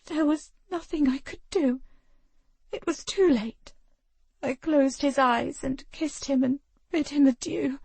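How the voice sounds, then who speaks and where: A woman's voice: high-pitched, steady tone, unhurried, warm tone, dry recording, voice pushed up. A woman voicing a grieving young noblewoman, her speech quavering and tearful.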